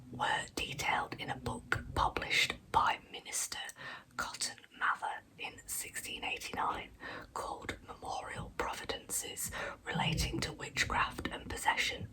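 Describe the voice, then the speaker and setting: A woman tells true crime in a soft, breathy ASMR whisper, close to the microphone. Her delivery is slow and measured, with a bright, sibilant edge. Wry, casual asides break up the scripted narration. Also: poor audio. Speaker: ASMR storyteller and channel host.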